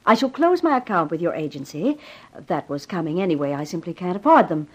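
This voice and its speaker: An actress playing a cool, brisk young company boss in a 1950s radio drama, formal and businesslike. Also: female voice, fairly low pitch, animated, a little mellow. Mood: firm, decisive. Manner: businesslike, commanding, crisply formal.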